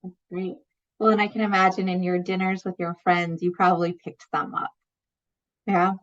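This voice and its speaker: A woman's voice: lowish voice, little pitch movement, normal speed. A woman moderating a student panel, asking questions in an encouraging way. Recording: dull lo-fi audio.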